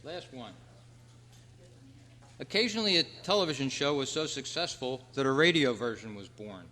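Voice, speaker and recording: Bright-voiced man hosting in an echoey hall. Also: mid-range voice, expressive, moderate pace. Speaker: quiz host at a club meeting. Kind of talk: live quiz hosting and club announcements to an audience. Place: large meeting room at a convention. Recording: echoey hall, long reverb.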